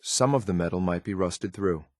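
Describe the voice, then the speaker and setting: A grim synthetic soldier from a video game, played by a man, with a low voice in a dry, close recording. He speaks in clipped, deadpan phrases with cold disdain for the world around him. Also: normal speed, no echo, natural voice. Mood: cold, disdainful. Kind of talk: scripted video game companion lines.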